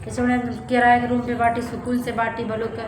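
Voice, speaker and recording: A woman's voice: on the high side, monotone, fast talker. A young woman with a Bhojpuri accent from eastern Uttar Pradesh, confiding plaintively and casually to her vlog viewers and repeating her phrases. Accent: Bhojpuri-accented Hindi, eastern Uttar Pradesh. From eastern Uttar Pradesh, India. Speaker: family vlogger. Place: indoors in a rented room. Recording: echoey room with clear background noise.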